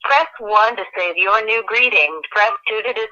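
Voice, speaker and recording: Bright lady phone menu voice, scripted prompts, dull sound off a phone speaker. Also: medium pitch, moderate pace.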